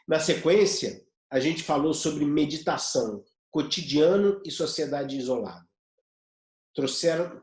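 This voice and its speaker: A man's voice: medium pitch, darkish tone. A man hosting an online event, giving an introductory address to viewers. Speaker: event host. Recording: roomy, echoey sound.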